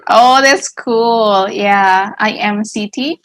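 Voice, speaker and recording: A woman's voice: mid-range voice, takes it slowly, fairly bright tone, dry recording. A woman from Indonesia with an Indonesian accent, a language teacher who asks questions in a friendly, hesitant way full of fillers. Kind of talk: casual Q&A chat.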